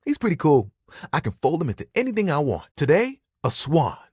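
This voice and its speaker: Bashful young towel guy, dating game character, punning and rambling, husky edge, dry close recording. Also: a little high, huge pitch swings, a little fast, a little mellow, no room sound, heavily acted. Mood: friendly, modest. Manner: rambling, self-conscious, casual, punning. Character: talking bath towel, bashful, eager, laid-back young guy. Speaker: video game voice actor.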